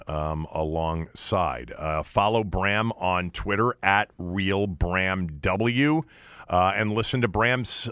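An American man hosting a casual sports interview, talking in a halting, rambling way that often interrupts itself. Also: deep voice, fairly bright tone, no echo, studio quality. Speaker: sports radio and podcast host.

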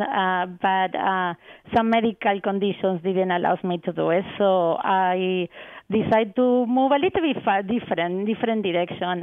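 A woman's voice: medium pitch, no room sound. A woman from Argentina, a research associate, answering interview questions on a call, hesitant with many fillers and restarts. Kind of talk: radio interview guest. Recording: tinny phone line.

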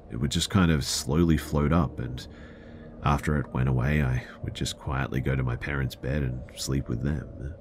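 Male horror narrator, extremely low register with heavy vocal fry, conversational first-person delivery over faint ambience. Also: darkish tone, slight background noise. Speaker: narrator of listener-submitted scary stories.

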